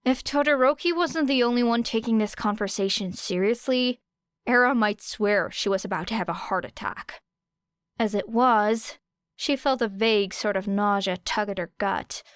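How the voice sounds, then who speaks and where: A woman's voice: mid-range voice, moderate pace, clear, resonant tone, no room sound. A woman reading scripted fiction as an audiobook narrator.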